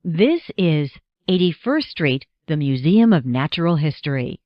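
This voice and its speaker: An American woman reading scripted subway announcements as an automated announcer, in measured, segmented phrases. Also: deep voice, very animated, no room sound, dull audio.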